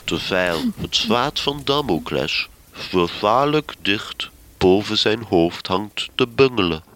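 An actor as the solemn, melodramatic narrator of a gothic comedy radio serial, reading scripted narration. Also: male voice, lowish voice, lively intonation, slow pace, noisy background. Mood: solemn, ominous.

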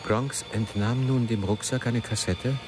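An actor playing a writer who narrates his own story in a radio play, in a measured, literary manner. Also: medium pitch, steady tone, over music, close to an everyday voice. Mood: measured, observing. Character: male first-person narrator, a writer turned would-be marksman.

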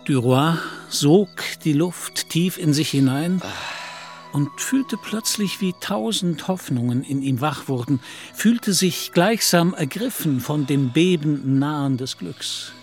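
A man's voice: fairly high pitch, animated, slow, faint music behind. A man narrating a literary radio play from a script.

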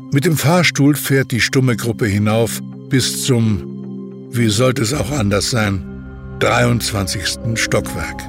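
A man narrates an audio drama from a script. His voice sits at a medium pitch. Music plays clearly underneath.

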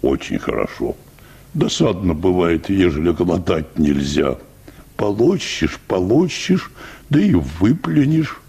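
Slow, gravelly deep bass choir singer. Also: male voice, very animated, a little mellow, exaggerated voice. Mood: deferential, wistful. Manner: wordy, hesitant, deferential, repeating polite filler phrases. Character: meek, rambling, hard-drinking church choir bass, a patient. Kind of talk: radio play acting of a comic short story.

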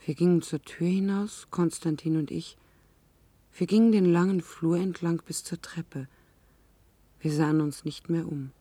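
An actress playing an earnest commune member, recounting events precisely and soberly in a 1970s German radio drama. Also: female voice, fairly low pitch, fairly even intonation, measured pace, mellow, slightly rough, dry recording. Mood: quiet, pensive. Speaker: radio play narrator.

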